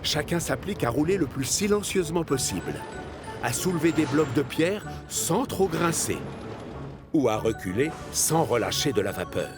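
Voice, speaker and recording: A man narrating a scripted children's story as an expressive storyteller. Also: on the high side, normal speed. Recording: music under the voice.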